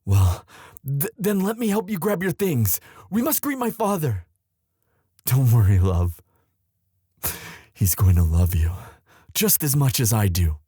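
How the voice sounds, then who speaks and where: A man playing a devoted young crown prince in a romantic ASMR audio drama, earnest and tender, with formal, halting, courtly speech. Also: mid-range voice, very animated, mellow, husky, no echo, clean studio sound. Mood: nervous, tender.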